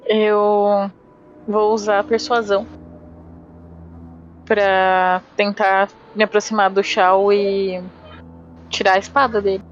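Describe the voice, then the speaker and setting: Female Brazilian tabletop player, casual action descriptions, average pitch, slightly muffled sound, some background music. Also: little pitch movement, slow pace, long pauses. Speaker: role-playing game player. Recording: slightly dull, music behind.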